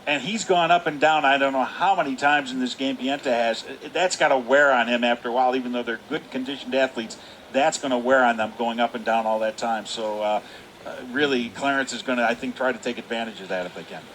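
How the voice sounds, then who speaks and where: A man's voice: medium pitch, fairly quick, fairly bright tone, a little hiss or hum, rough recording. An American man giving live color commentary on a hockey game, in an emphatic, folksy way that repeats its points. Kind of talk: live sports analysis.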